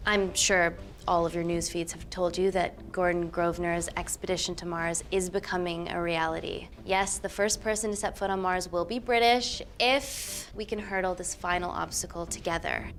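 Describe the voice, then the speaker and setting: An actress playing an earnest, composed doctor and scientist in a film drama, speaking articulately. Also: female voice, fairly low pitch, steady tone, normal speed, fairly bright tone. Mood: poised, formal. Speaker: scientist presenting to investors. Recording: film soundtrack with background music.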